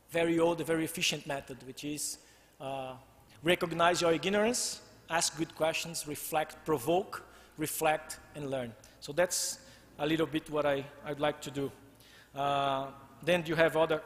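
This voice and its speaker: Brazilian man with a halting delivery. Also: a little high, fairly bright tone. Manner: halting, with many restarts and fillers. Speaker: conference speaker. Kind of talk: tribute talk with slides. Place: large glass-walled hall, indoors. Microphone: podium microphone. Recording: long hall reverb.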